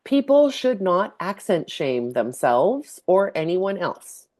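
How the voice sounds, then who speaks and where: A woman from Canada with a low voice co-hosts a podcast as its language teacher. She talks in a loose, chatty way and laughs easily. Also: big pitch swings, takes it slowly, a little mellow. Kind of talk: casual chat.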